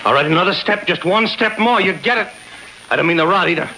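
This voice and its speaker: A jumpy, surly American gunman, somewhat high and tense in voice. He talks in terse, tough slang, in fifties radio crime style with faint broadcast noise. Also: fast talker, pushed and bright. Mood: threatening, panicky. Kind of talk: 1950s radio crime drama acting. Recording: noisy old 1950s radio broadcast.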